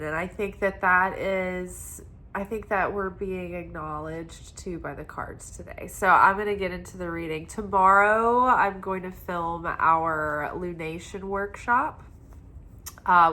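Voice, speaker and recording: Congested, slow-talking woman tarot reader. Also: lowish voice. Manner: soft, meandering, spiritual. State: congested from crying. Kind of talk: weekly tarot reading talk.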